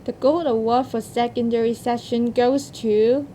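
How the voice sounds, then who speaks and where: A woman's voice: on the high side, fairly even intonation, measured pace, a loud crowd, very noisy. A woman presenting awards from a script as ceremony host.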